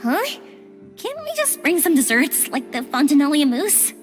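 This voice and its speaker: A woman's voice: high-pitched, big pitch swings, normal speed. A woman playing a friendly, enthusiastic, perky young woman in a video game. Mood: curious, perky. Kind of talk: scripted video game dialogue. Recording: music, long reverb.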